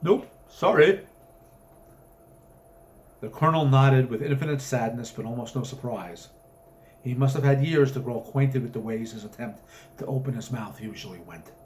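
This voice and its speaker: A man's voice: mid-range voice, brisk, darkish tone. A man reading his own short story aloud with a dramatic, literary narrating delivery.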